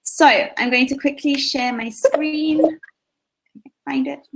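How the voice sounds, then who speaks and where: Brief: South African woman, teacher presenting over a video call, bright higher voice, eager, with frequent ums. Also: steady tone, takes it slowly, gravelly, heavy background noise. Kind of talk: conference presentation.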